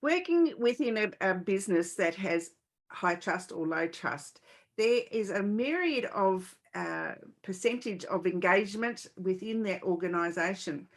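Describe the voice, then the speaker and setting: A woman hosting a podcast, giving introductions and questions in a presenterly way with pauses mid-sentence. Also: mid-range voice, lively intonation. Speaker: podcast host. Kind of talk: scripted introduction and interview questions.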